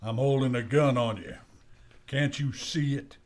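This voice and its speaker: An actor playing a sullen, taunting American outlaw in a jail cell, in an Old West radio play. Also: male voice, mid-range voice, a little slow, close to an everyday voice. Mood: threatening. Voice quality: gravelly, creaky. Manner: drawling, taunting, insolent. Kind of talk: old-time western radio play acting.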